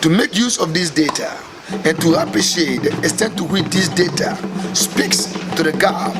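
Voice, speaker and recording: A man's voice: fairly high pitch, background noise. Man giving a formal address. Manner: earnest, measured, speech-like delivery. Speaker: tennis foundation official. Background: loud music.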